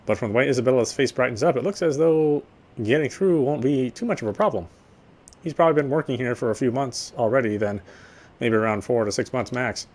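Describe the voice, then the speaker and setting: An actor playing a guarded young policeman who narrates his thoughts in a measured, wry voice for a voiced visual novel. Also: medium pitch, a little mellow, lightly acted. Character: guarded young male cop, dry inner monologue. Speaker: police officer. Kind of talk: first-person game narration.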